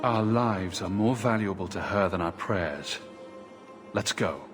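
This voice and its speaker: A man's voice: low voice, expressive, measured pace. A man playing a resolute young refugee mage in a fantasy game, earnest and firm. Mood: somber resolve. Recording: loud music under the voice.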